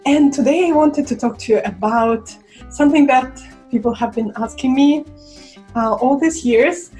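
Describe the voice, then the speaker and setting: A young woman from Russia with a Russian accent, chatting casually to camera and pausing for words. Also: high-pitched, mellow, soft background music. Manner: chatty, searching for words with fillers. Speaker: vlogger.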